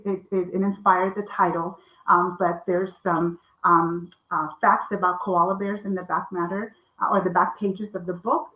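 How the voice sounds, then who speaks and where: A middle-aged woman author, Spanish her first language, talks in an earnest, measured way over a video call. Her voice sits in an ordinary middle pitch. The room sounds echoey around her. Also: a bit bright. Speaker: children's book author. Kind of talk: library author talk.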